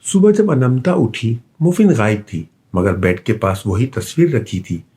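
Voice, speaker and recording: A man narrating a scripted children's bedtime story with an expressive storytelling delivery. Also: medium pitch, unhurried, breathy edge.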